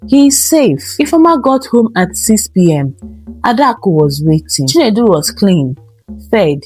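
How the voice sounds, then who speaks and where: A woman's voice: medium pitch, very animated, moderate pace, a little mellow, husky, faint music behind. A woman narrating a dramatic scripted folktale as a storyteller.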